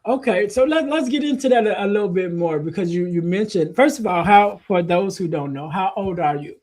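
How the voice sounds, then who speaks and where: High-voiced relaxed podcast host man. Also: a little fast. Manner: relaxed, friendly, filler-heavy. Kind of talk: casual interview hosting.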